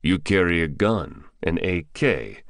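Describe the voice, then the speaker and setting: Man playing a deep-voiced, menacing armed drug buyer. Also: animated, smooth, no echo, studio quality. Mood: interrogating. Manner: curt, threatening, deliberate. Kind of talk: audiobook character dialogue.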